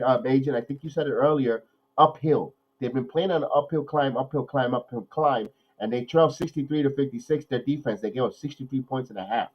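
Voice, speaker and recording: A man hosting a live watch-along podcast, nasal from a cold, calling plays excitedly and then talking analysis with many repeats. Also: a little high, dull audio. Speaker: podcast host.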